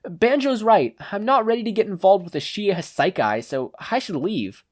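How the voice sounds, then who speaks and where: An excitable teenage hero student acted out by an audiobook reader. The voice is pitched up and swings widely, with an exasperated edge. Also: moderate pace, no echo, studio quality, lightly acted. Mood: panicked, exasperated.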